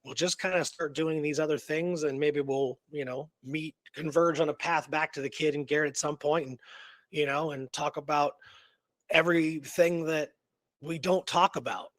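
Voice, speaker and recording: A man's voice: a little high, steady tone, moderate pace, no room sound. A man co-hosting a history show in casual, unscripted chat, rambling and conversational with emphatic repetition. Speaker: co-host of an Old West history show.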